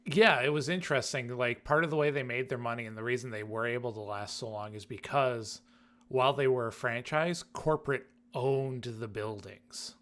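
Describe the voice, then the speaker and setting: A man's voice: mid-range voice, moderate pace, fairly bright tone. A man from the United States co-hosting a podcast in casual, conversational chat. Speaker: podcast co-host.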